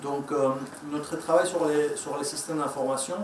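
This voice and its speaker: A man's voice: a little high, steady tone, normal speed, a little mellow, a little hiss or hum. A man with a Spanish accent and Spanish as his first language, giving a hesitant conference presentation with many fillers and restarts. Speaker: conference speaker. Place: conference room. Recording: echoey room, mic from far off.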